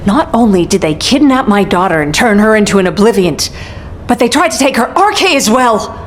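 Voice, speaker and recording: A woman's voice: medium pitch, big pitch swings, fairly quick, slight background noise, lightly acted. A woman voicing a furious, protective mother whose daughter was kidnapped, in a video game cutscene. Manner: seething, outraged. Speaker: game character.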